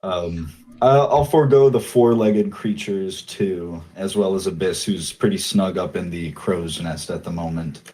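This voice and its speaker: A man narrating as game master, speaking haltingly with frequent fillers. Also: sits low, mellow. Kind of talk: narrating a tabletop game online.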